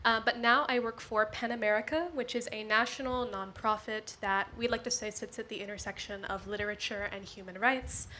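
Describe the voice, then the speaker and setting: A woman's voice: mid-range voice, fairly even intonation, brisk, hardly any pauses, sharp tone, a little hiss or hum. A woman in her twenties speaking as a panelist, polite and articulate. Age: 20s. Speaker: nonprofit program coordinator, panelist. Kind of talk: panel introduction and discussion. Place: community arts center before a live audience.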